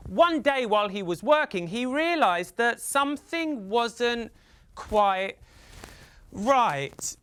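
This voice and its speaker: A man from the UK telling a folktale theatrically for children, with dramatic pauses, repetition and drawn-out emphasis. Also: very high voice, expressive, fairly bright tone, clean tone. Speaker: storyteller.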